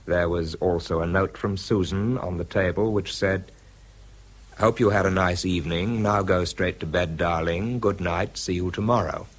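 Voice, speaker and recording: Troubled British scientist-narrator, radio drama, deep, husky, wry, confiding, measured. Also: male voice, fairly even intonation. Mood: numb, troubled. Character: weary, troubled British male scientist narrating his story. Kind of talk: dramatised first-person narration.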